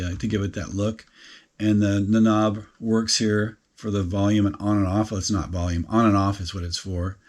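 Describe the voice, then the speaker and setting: Low-pitched man explaining a DIY project. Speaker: DIY hobbyist presenter. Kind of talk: informal project walkthrough narration.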